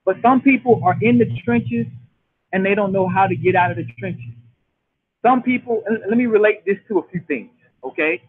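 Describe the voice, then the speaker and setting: A man in his late forties delivers a motivational talk, hammering his points home by repeating them. His voice sits high and sounds husky. It comes through a thin, tinny phone line. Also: normal speed. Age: 40s. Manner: preachy, emphatic and repetitive. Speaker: show host.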